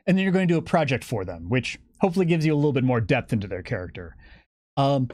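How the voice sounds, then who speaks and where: Male guest, average pitch, explanatory stream talk, thorough and orderly delivery, very dry recording. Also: very animated, fast talker, a little mellow, dry recording. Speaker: guest game developer giving campaign updates.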